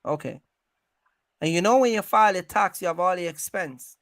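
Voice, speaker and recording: A man hosting an animated advisory conversation about business, speaking emphatically, repeating himself and often checking in with questions. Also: high-pitched, moderate pace, dry recording, clean studio sound. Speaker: host giving business advice to truck owners.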